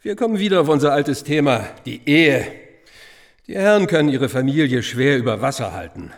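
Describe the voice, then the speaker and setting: An actor playing a cynical, gossipy schoolteacher in a radio drama, chatting with ironic, conspiratorial relish. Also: male voice, medium pitch, lively intonation, a little fast, lightly acted. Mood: gossipy, sardonic. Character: gossipy, sardonic schoolteacher, cynical confirmed bachelor. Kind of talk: conversational radio drama acting.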